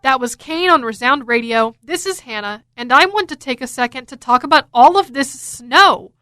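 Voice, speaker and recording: Bright, high-voiced young American woman DJ. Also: lively intonation, normal speed. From Florida, United States. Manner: enthusiastic, chatty. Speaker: radio DJ. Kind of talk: upbeat casual radio DJ talk. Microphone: close studio microphone.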